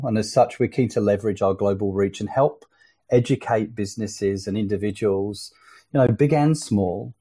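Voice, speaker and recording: Low-voiced male podcast host. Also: mellow. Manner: conversational, frequent fillers and restarts. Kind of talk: business podcast interview.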